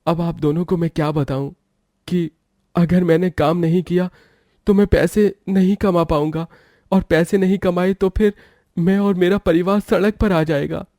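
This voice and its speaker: Earnest, higher-pitched young village man voiced by a man. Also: fairly even intonation, moderate pace, dark tone, no echo, studio quality. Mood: earnest, worried. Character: poor, earnest, hardworking young village pakora seller. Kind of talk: Hindi cartoon moral story acting.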